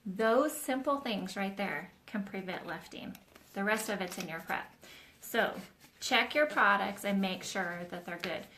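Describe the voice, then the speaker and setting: A woman's voice: mid-range voice, a little slow, pushed and bright, slight background noise. A woman hosting a casual live Q&A, teaching nail technicians in a chatty, hands-on way. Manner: chatty, demonstrates while talking, addresses viewers as "you guys".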